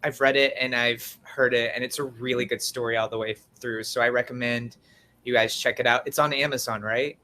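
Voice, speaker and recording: A man with an average-pitched voice chats as a podcast interviewer. He sounds informal and encouraging, keeping things casual and supportive. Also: steady tone, a little fast, sharp tone, a little hiss or hum.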